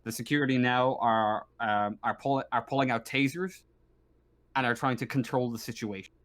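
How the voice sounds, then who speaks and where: A man's voice: medium pitch, fairly even intonation, normal speed, fairly bright tone. A man improvising narration as a tabletop game master, hesitantly, often stumbling and repeating words.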